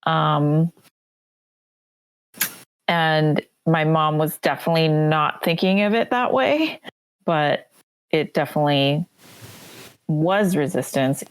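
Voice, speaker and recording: A woman whose first language is Korean, raised in the United States, chatting reflectively as a podcast guest with soft hesitations. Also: low-pitched, slow, long pauses, clear, resonant tone. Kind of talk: casual podcast chat.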